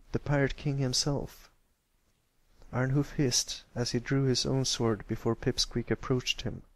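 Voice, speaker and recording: A man reading a story aloud as an amateur narrator, in a scripted storybook style. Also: medium pitch. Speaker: amateur fan fiction reader.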